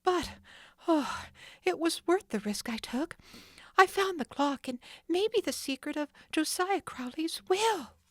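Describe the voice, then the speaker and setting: A woman's voice: high-pitched, lively intonation, normal speed, hardly any pauses, no room sound, studio quality, voice pushed up. A woman voicing an anxious but plucky young female sleuth, with breathless, worried exclamations.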